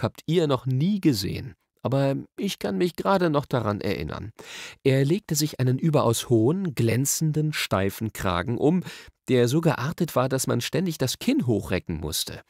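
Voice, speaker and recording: A man reading a children's story as an expressive, scripted audiobook narration. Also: mid-range voice, gravelly, no room sound, studio quality.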